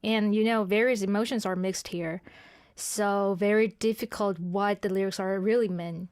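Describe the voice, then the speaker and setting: A woman co-hosting a radio chat, speaking careful, halting English with frequent short replies. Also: medium pitch, fairly even intonation, darkish tone, smooth, no echo, clean studio sound. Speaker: radio co-host.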